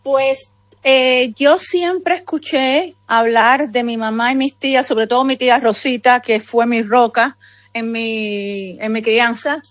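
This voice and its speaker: A high-pitched woman in her fifties speaks with a Cuban accent, wandering through her memories with many asides. The sound is dry and close, with no room around her voice. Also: fairly even intonation, slow pace, dry recording. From Cuba. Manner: rambling, warmly conversational, many asides. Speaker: guest author. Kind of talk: remote interview conversation.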